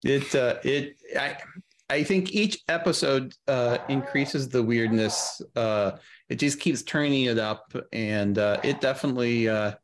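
A middle-aged man chatting casually as an interview guest on a video call, thinking aloud in a halting way. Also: medium pitch, crowd noise. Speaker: guest, audio drama creator. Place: small room on a video call.

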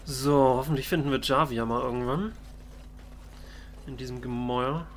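A man's voice: mid-range voice, steady tone, normal speed, a little hiss or hum. A man giving in-character video game commentary as a let's play host, with a playfully mock-indignant, joking manner. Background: video game sounds.

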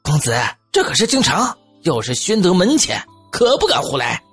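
A woman's voice: lowish voice, huge pitch swings, normal speed, breathy edge, soft background music, pitched below the performer's own voice, heavily acted. A woman voicing a young male retainer in a historical audiobook. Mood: pleading. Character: young male retainers and hot-headed master of ancient China. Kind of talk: audiobook character acting.

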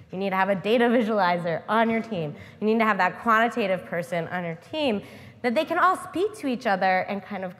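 A woman's voice: mid-range voice, big-room sound. Earnest woman on a stage panel. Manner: earnest, animated, gestures while speaking. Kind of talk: panel discussion. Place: large auditorium stage. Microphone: lapel mic.

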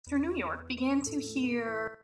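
A woman acting in an audio drama as an inquisitive storyteller recounting eerie history in a measured way. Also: high-pitched, reverberant, background noise. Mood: curious, composed.

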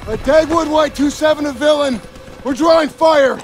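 An actor playing a cynical, bullying American army sergeant in a World War II video game. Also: male voice, very high-pitched, normal speed. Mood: tense radio call.

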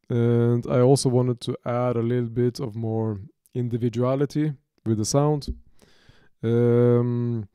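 A Norwegian-accented man in his thirties walks through his studio setup at an unhurried, deliberate pace. His voice is mellow and smooth, recorded close and dry in a small home studio. He talks in a halting, off-the-cuff way, stopping and restarting his phrases. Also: mid-range voice, no echo. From Norway. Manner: halting, many restarts and fillers. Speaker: music producer demonstrating software. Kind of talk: unscripted tutorial.

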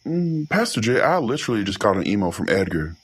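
A man's voice: lowish voice, sing-song, fast talker, husky. A man playing a blunt, exasperated producer sidekick in comedic podcast banter, speaking curtly.

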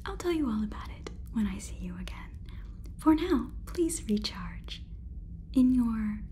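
A woman's voice: fairly high pitch, sing-song, unhurried, mellow, slight background noise. A woman in her twenties voicing a polite, faintly condescending female AI robot overlord in a whispered ASMR roleplay, with stilted, soothing phrasing. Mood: explaining, reassuring. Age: 20s. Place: small room indoors.

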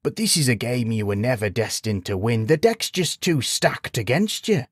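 A man voicing a kindly old village sage in a storybook, gently chiding and wry, explaining patiently in folksy rhetorical questions. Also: medium pitch, moderate pace, dry recording, studio quality, pitched above the performer's own voice, close to an everyday voice. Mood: gentle, reassuring. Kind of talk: storybook character voice.

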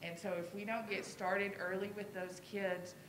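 A woman's voice: little pitch movement, normal speed. A middle-aged woman, an elementary teacher, explaining things conversationally in an informal presentation at a podium microphone through a face mask. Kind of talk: informal presentation to a school board. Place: school board meeting room. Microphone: podium microphone, speaking through a face mask. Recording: echoey room with clear low rumble.

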